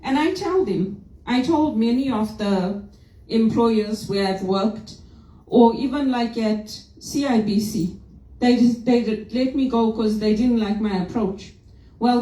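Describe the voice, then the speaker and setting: A woman from Zimbabwe speaks in a fairly high, mellow voice. She gives an informal, opinionated reflection in an earnest way. The sound is roomy, with clear echo. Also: measured pace. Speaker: vlogger giving a personal reflection. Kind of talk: informal spoken reflection, part religious, part personal grievance. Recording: roomy echo, distant from microphone.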